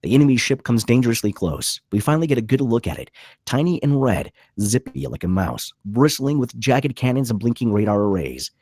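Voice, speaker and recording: A man's voice: medium pitch, big pitch swings, brisk, darkish tone, no echo, studio quality. A man reading stage directions as dramatic scripted narration at a table read.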